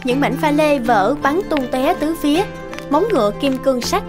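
A woman's voice: sits high, lively intonation, normal speed, over music. A woman working as the scripted narrator of a cartoon fairy tale, telling the story. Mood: storytelling.

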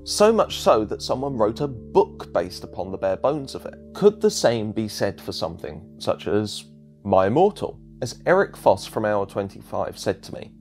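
A man's voice: lowish voice, big pitch swings, moderate pace, a little mellow, faint music behind. A man narrating a scripted video essay as its presenter.

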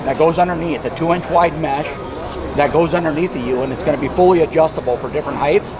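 A man with a slightly high voice walks through a product as a company rep. He is plainspoken and agreeable, explaining casually over the clear bustle of a trade show crowd. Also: fast. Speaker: company representative presenting a product. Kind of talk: casual product demonstration interview. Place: busy indoor trade show floor.

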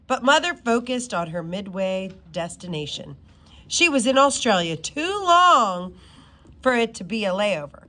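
A woman reading a children's novel aloud in an expressive, informal way, sometimes stumbling over words. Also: a little high. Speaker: reader of a children's book.

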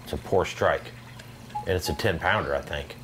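A man's voice: low voice, moderate pace, slight background noise. A man playing a video game and commentating casually, in an exasperated, self-deprecating, slangy way. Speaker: video game player and channel host.

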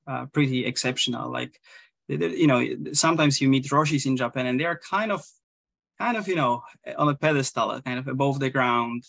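Polish guy on a video call, kinda gravelly, lots of um and restarts, dry webcam sound. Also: medium pitch, fairly bright tone, no echo. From Poland. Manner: hesitant, many restarts and fillers. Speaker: guest, Zen priest. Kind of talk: casual interview over video call. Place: small room indoors.

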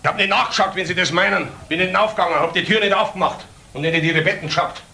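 An actor playing a bitter, defiant middle-aged father in a radio crime drama, speaking in emphatic broken phrases with pauses. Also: high voice, gravelly, bright, forceful tone, heavily acted. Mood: guarded. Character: bitter, defiant father of grown sons under suspicion.

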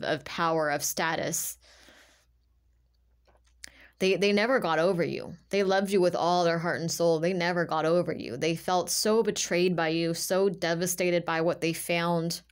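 A woman with a slightly low voice gives an informal tarot reading as a monologue. She talks in a halting, emphatic way, repeating phrases as she feels her way through and leaning often on the word "like". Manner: halting, repetitive, emphatic, filler-heavy with "like". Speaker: tarot reader, channeler.

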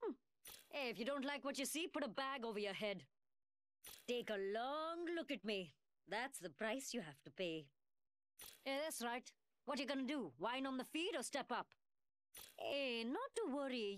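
A woman's voice: on the high side, big pitch swings, measured pace, long pauses, no echo. An actress voicing a cocky, mocking young woman gunsmith in a video game, with a British working-class London accent and a cheeky, sarcastic manner. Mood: scornful. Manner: taunting, slangy, sarcastic. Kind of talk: video game character voice lines.

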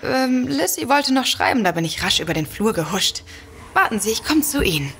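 An actress playing a flirtatious, coy hostess in an audio drama, with a seductive manner. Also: female voice, on the high side, big pitch swings, fairly quick, fairly bright tone, slight background noise. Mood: coaxing. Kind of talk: German audio drama acting.

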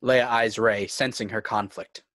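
A man's voice: fairly low pitch, fairly even intonation, unhurried, fairly bright tone, dry recording. A man narrating screenplay scene headings and action in a scripted read. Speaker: narrator reading stage directions. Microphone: video call.

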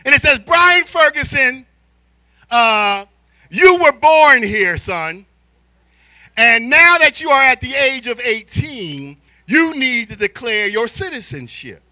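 A middle-aged American man delivers a sermon in a very bright, forceful voice. His delivery is slow and theatrical, stretching key words for emphasis. The pitch moves in lively sweeps as he tells stories to his congregation. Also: no room sound, rough recording. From born in Spain, American military family. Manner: animated, theatrical, drawn-out emphasis, swinging from quiet asides to shouts. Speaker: church elder preaching. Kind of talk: sermon with storytelling and call-and-response to congregation. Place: large church hall, indoors. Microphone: handheld microphone.

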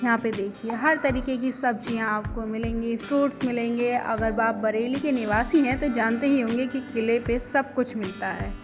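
A woman's voice: on the high side, steady tone, moderate pace, few pauses. A young woman giving a light, joking voice-over narration for her shopping vlog. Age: young adult. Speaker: vlogger. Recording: narration with music playing under it.

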